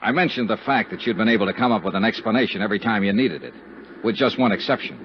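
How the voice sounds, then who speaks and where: An actor playing a tough, cynical American investigator in a 1950s radio drama, clipped, slangy and sardonic, both narrating and in dialogue. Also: male voice, lowish voice, expressive, fast talker, lightly acted. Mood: accusing. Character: hard-boiled male investigator, cynical, tough and world-weary. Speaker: lead actor and narrator of a radio detective serial. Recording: muffled, noisy 1950s radio recording.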